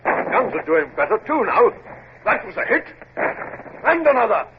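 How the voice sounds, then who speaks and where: An actor playing an eager, excitable junior naval officer in a radio drama, calling out deferential reports. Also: male voice, high-pitched, measured pace. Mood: excited. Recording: tinny, noisy vintage radio recording.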